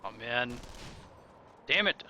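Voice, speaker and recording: Casual male game streamer, medium pitch, crisp bright tone, talking with friends. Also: lively intonation, slow, muffled recording, slight background noise. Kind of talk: casual gaming chat.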